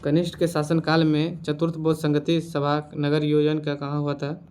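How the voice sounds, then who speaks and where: A man's voice: a little high, monotone, normal speed, a little mellow, a little hiss or hum. A man teaching as a quiz host, reading out questions and answers in an instructional way and repeating the answers. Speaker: quiz teacher for exam preparation.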